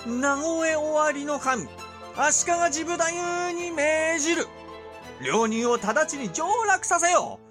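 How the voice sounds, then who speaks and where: Feudal ruler: high, imperious, measured and slow, archaic commands for historical audio drama. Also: male voice, bright, forceful tone, full character voice. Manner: commanding, archaic formal orders. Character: high-voiced, haughty male ruler of a shogunate.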